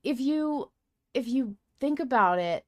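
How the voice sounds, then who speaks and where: A woman's voice: sits high, lively intonation, unhurried, darkish tone, no echo. A woman hosting a podcast, chatting casually and musing informally about a story. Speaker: podcast host. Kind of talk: casual discussion of a fiction podcast.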